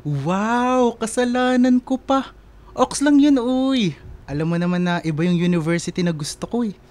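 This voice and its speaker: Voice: medium pitch, animated, moderate pace, a little mellow, natural voice. A performer playing a teasing, jokey college-age male best friend in casual radio drama banter. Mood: teasing, upbeat.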